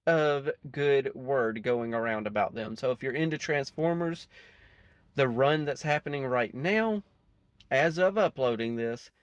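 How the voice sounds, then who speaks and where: A man's voice: mid-range voice, no echo, dull audio. A man giving casual, rambling reaction commentary as host, often chuckling between asides.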